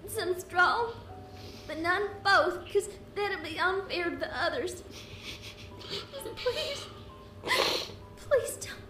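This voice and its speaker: A woman voicing an anxious, devoted young country mare in a dramatic animated comic, earnest, hesitant and pleading. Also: very high voice, takes it slowly, pauses often, heavily acted. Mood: tearful pleading. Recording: echoey, distant, music over voice.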